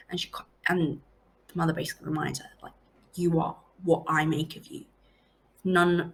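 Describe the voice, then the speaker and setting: Young woman poet from Hong Kong, low gravelly voice, chatty, casual. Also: a bit bright, slight background noise, rough recording. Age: young adult. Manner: chatty, quick, frequent fillers and likes. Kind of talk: casual talk about her poems.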